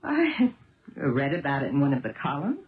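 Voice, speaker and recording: Determined wife turned sleuth, muffled radio. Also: female voice, very deep voice, wildly swooping pitch, moderate pace, full character voice. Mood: tense, defiant. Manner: earnest, dramatic delivery. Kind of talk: 1970s radio drama acting. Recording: muffled, roomy 1970s radio recording.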